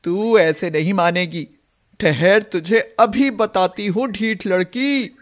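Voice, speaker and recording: A woman voicing a cruel, sharp-tongued stepmother cow in an animated moral story. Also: mid-range voice, slow pace, lightly acted. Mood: angry. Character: cruel stepmother cow, sweet outside, bitter inside.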